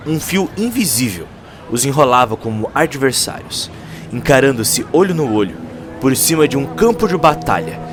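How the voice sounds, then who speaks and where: A Brazilian man narrating a dramatic scripted audio story in a theatrical, deliberate way, with short emphatic phrases. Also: medium pitch, noisy background. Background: prominent music.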